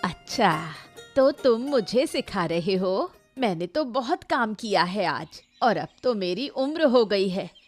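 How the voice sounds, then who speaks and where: A woman's voice: medium pitch, animated, takes it slowly, soft background music. A woman voicing a lazy, complaining elderly mother who scolds her grown son in a Hindi cartoon story. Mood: dismissive, excusing. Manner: scolding, complaining, excuse-making.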